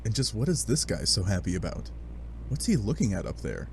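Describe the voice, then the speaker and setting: A man giving animated, sardonic narration of a comic adventure, with playful dramatic asides. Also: lowish voice, a little fast, slight background noise. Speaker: narrator of a fan dub read-along.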